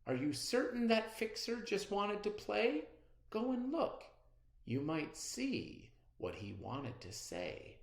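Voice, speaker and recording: Reader putting on a gentle old grandpa from a picture book, takes his time, coaxing, bit echoey. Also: mid-range voice, very animated, slow, vocal fry, pitched above the performer's own voice, full character voice. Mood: gently coaxing. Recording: roomy, echoey sound.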